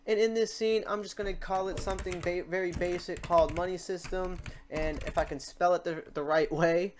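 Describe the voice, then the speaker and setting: A man hosting a casual software tutorial, informal and halting as he thinks aloud. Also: high-pitched. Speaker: tutorial host. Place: indoors at a computer, keyboard typing behind.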